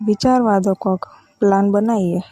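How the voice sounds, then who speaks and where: Female actor as insistent wheedling village woman, Gujarati accent, muted dark tone, dry sound, casual phone chat. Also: medium pitch, fairly even intonation, normal speed, breathy edge, no echo, soft background music, close to an everyday voice. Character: demanding, wheedling village in-law woman wanting costly gifts. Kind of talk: staged casual phone conversation.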